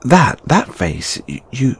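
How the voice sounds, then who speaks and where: A man playing a shy, anxious, lovelorn young lamia flatmate in an intimate audio roleplay, speaking hesitantly with stammers and sighs. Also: medium pitch, slow, breathy edge, full character voice. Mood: flustered, confused.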